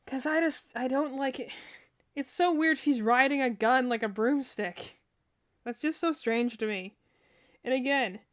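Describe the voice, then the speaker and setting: A woman with a fairly high voice gives casual streamer commentary. She rambles as she thinks aloud, chatting through her reactions in an informal way. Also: a bit bright, clean tone. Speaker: streamer reacting to game character demos.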